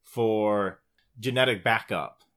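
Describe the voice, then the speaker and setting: A man's voice: lowish voice, slow, fairly bright tone. Male podcast co-host, informal and sweary, casual gaming chat between friends. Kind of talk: casual chat about video games.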